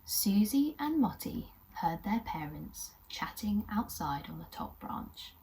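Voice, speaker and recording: A woman's voice: medium pitch, big pitch swings, slow pace, creaky, warm tone, poor audio. A British woman presenting and narrating a children's story in a friendly, encouraging way. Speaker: children's puppet show host and storyteller.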